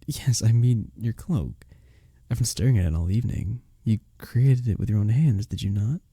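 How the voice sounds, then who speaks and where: A man playing a courteous, smitten young village innkeeper in an intimate romantic fantasy roleplay, speaking softly and breathily with formal, bashful phrasing. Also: lowish voice, moderate pace, husky, no room sound, studio quality. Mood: earnest, admiring.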